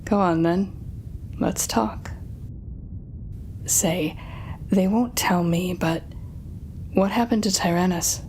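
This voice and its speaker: Low-voiced, taunting villainess, acted by a woman. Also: a little mellow, slight background noise, low-quality recording. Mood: wry, teasing. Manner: taunting, theatrical, drawn-out teasing phrasing. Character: sardonic female telepathic supervillain, defeated but smug. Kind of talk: intimate audio roleplay drama, speaking to listener.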